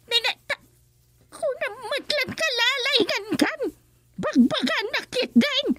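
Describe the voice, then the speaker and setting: A woman playing a cranky, nagging old village woman in a rural comedy radio drama, scolding in a shrill voice. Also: sing-song, background noise, exaggerated voice. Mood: scolding, threatening. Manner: scolding, fussy, fast.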